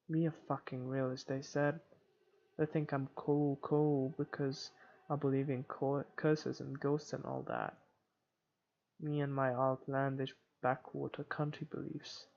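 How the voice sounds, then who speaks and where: A man reading first-person horror game narration aloud in a dramatic way, with some halting stumbles. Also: mid-range voice, creaky, dull audio. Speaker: gamer reading a visual novel aloud. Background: game music.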